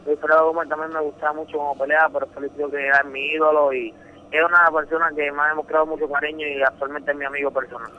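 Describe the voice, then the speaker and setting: A man's voice: on the high side, flat delivery, quick pace, narrow, tinny audio, a little hiss or hum. A young Puerto Rican man speaking Spanish with a Puerto Rican accent, a boxing champion answering a radio interview in an earnest, emphatic way, often repeating words. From Puerto Rico.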